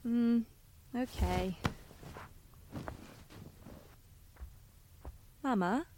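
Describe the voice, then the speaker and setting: A woman's voice: on the high side, slow pace, warm tone, slight background noise. A woman voicing a curious, innocent little village girl in an audio drama, with a childlike, questioning way of talking. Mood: disappointed, pleading.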